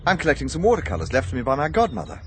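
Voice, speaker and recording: Animated upper-class English gentleman, noisy recording. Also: on the high side, very fast. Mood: surprised, brisk. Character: courteous, well-bred gentleman. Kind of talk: radio drama acting. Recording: clearly noisy radio recording, clicks.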